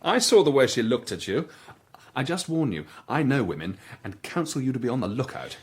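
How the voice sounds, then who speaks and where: An actor with an English accent playing a proud, condescending young army lieutenant in a radio drama. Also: male voice, medium pitch, big pitch swings, fairly quick, husky. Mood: knowing, warning. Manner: smug, needling. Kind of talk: British radio drama acting.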